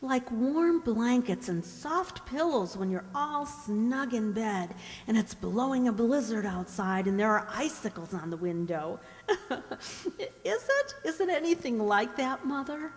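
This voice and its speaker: A woman's voice: on the high side, big pitch swings, a little slow. A woman playing a dreamy, earnest seventeen-year-old country girl in a radio drama, with a wistful, musing manner. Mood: dreamy, wondering. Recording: hall-like long reverb.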